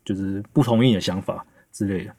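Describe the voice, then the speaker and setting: A man's voice: low-pitched, fast talker, a little mellow, breathy edge, no echo. A man in his thirties with a Taiwanese Mandarin accent, talking casually and reflectively in an earnest, candid way, explaining at length.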